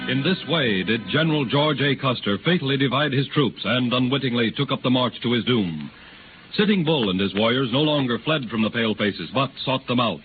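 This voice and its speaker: A man's voice: mid-range voice, lively intonation, fairly quick, over music. A man narrating in a florid, declamatory, dramatic scripted 1930s radio style. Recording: noisy, tinny 1930s radio recording.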